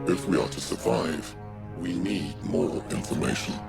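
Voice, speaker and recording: A grim alien machine strategist from a sci-fi game. It is extremely gravelly and very deep, slow and measured, with loud music behind. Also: male voice, exaggerated voice. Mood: grim, analytical. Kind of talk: scripted video game cutscene acting.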